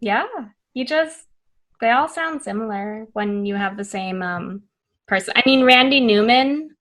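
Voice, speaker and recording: A young woman co-hosts a casual podcast and talks with friends in an unhurried way. Her voice is bright and forward. She laughs often and leans on fillers like "like" and "um.". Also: on the high side. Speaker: podcast co-host. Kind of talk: casual chat.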